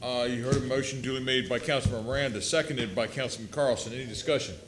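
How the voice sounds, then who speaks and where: A man chairing a city council meeting, calling votes in a procedural way, often pausing on fillers. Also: medium pitch, fairly even intonation, fairly bright tone. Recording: meeting chamber with audience.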